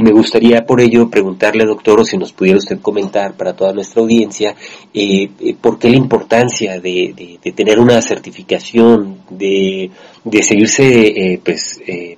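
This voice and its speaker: Male host, low husky voice, polite and formal, dull lo-fi sound. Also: steady tone, moderate pace, darkish tone. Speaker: interview host. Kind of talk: formal interview questions.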